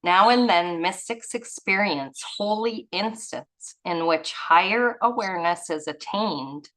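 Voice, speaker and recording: A woman's voice: medium pitch, measured pace, fairly bright tone, rough recording. A woman leading a study group, reading aloud and adding informal, musing, effusive personal commentary in a casual way. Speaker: reader and study group leader.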